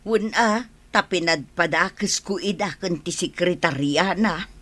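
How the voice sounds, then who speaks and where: A woman playing an excitable, jealous village wife in a radio comedy drama, with an Ilocano accent and a dramatic, wailing manner. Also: on the low side, measured pace. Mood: sheepish, remorseful.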